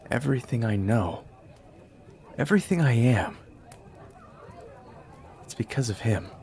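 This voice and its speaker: A young man playing a grieving adopted warrior, speaking quietly and earnestly. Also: mid-range voice, animated, a little slow. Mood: somber.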